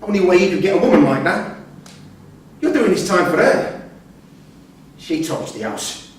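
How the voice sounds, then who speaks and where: A man's voice: high voice, big pitch swings, normal speed. An actor playing a menacing, coarse-mouthed prisoner and grieving father in a staged reading. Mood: accusing. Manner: coarse, sweary, accusing. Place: theatre room at a live staged reading. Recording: distant, very echoey hall, faint noise.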